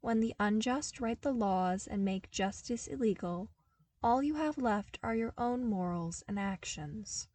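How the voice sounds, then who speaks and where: An earnest young man from a hard desert world , voiced by a woman reader, explains things with wry, emphatic energy. The pitch often lifts above narration, and the sound is close and dry. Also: animated, a little slow, warm tone, no echo. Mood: hard, serious.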